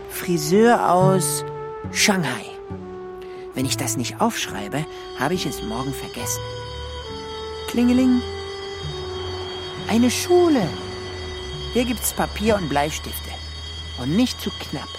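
A woman playing an earnest, single-minded little would-be seafarer in a children's radio play, deliberate and matter-of-fact. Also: lowish voice.

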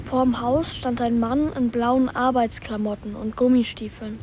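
A woman's voice: fairly high pitch, steady tone, a little slow, darkish tone, slight background noise, lightly acted. A woman playing Bela, an anxious, thoughtful boy who tells his story in an earnest, hesitant way in a radio play. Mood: uneasy narrating. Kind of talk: radio play acting and first-person narration.

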